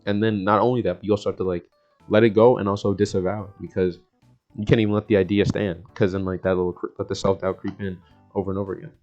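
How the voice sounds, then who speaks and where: A man's voice: low-pitched, flat delivery, fast talker, darkish tone. A young man giving a casual, slangy self-help talk, rambling and circling back over his points. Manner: rambling and repetitive, often chuckling mid-sentence. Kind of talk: casual, slangy motivational chat to the audience. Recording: dull, lo-fi sound with music underneath. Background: background music.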